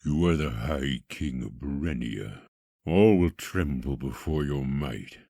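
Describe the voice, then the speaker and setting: Menacing imperious king, extremely low voice, slow pompous delivery, fantasy audio drama, dry close sound. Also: expressive, vocal fry, warm tone, no room sound, heavily acted. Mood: grandiose, brooding. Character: vain paranoid tyrant king, menacing and imperious.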